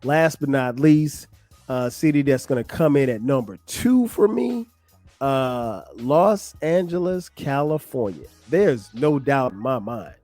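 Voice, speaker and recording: A man's voice: medium pitch, animated, a little slow, mellow, dry recording, faint music behind. Chatty middle-aged man, dry close mic. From South Carolina. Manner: loose and chatty, lots of ums and slang. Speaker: podcast host. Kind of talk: casual solo podcast talk.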